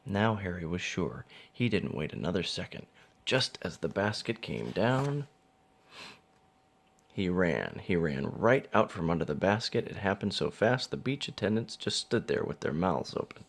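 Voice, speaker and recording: Male storybook narrator, low and gravelly, with lively swings in pitch. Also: normal speed. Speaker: father reading a picture book. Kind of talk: children's storybook narration read aloud.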